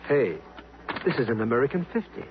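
A man's voice: mid-range voice, sing-song, fairly quick, natural voice. An actor playing a boastful, bumbling sidekick who fancies himself a ladies' man, blustering and stammering in a 1950s radio drama. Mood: flustered bluster. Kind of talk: 1950s radio comic acting. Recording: dull, very noisy old radio recording.

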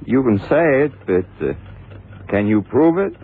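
Cocky clockmaker with lively pitch. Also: male voice, a little slow. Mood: cocky, challenging. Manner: challenging, evasive. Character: cocky, defiant clockmaker, a suspected bomber. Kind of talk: 1970s radio drama acting. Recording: tinny, narrow-band, noisy old radio recording.

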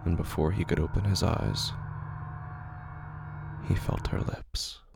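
A man narrating scripted horror fiction dramatically, in short, deliberate, measured phrases. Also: low-pitched, very animated, creaky, background noise. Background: prominent music.

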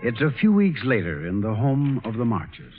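A man's voice: mid-range voice, wildly swooping pitch, normal speed. A male radio announcer introducing a programme in formal 1940s broadcast style. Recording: tinny old radio, music behind.

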